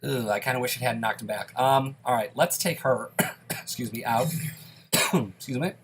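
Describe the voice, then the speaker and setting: A man's voice: medium pitch, moderate pace, a little hiss or hum. A young man giving casual, self-deprecating play-by-play commentary on a dungeon game while a bit sick. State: slightly sick, occasional coughs. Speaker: gaming YouTuber.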